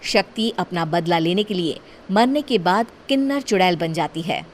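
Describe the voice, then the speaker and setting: A woman narrating a cartoon horror story in a steady, plain storytelling manner. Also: lowish voice, normal speed, slight background noise.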